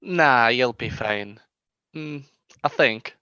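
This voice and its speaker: A man's voice: medium pitch, slow, sharp tone, smooth, no room sound. A man playing an easygoing, awkward bartender who talks casually and hesitantly in a radio comedy, on a dry, dull recording. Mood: friendly, awkward. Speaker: actor in a comedy radio drama. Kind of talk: naturalistic radio comedy-drama acting.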